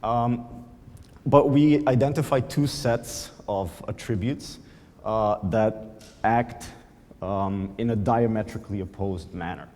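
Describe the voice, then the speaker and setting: A man's voice: on the low side, slow pace, darkish tone. A man whose first language is Slovenian, giving a conference talk and explaining with frequent ums. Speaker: conference speaker. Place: large conference hall. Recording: reverberant hall.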